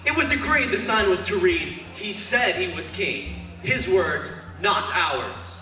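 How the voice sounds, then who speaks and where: Male actor as contemptuous Pharisee, church drama, very forceful and emphatic, distant reverberant pickup. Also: sits high, expressive, slow pace, faint music behind, heavily acted. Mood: indignant. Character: indignant, scornful Pharisee religious leader. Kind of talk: church stage Easter drama acting. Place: church hall stage performance. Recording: echoey hall, distant mic, noisy.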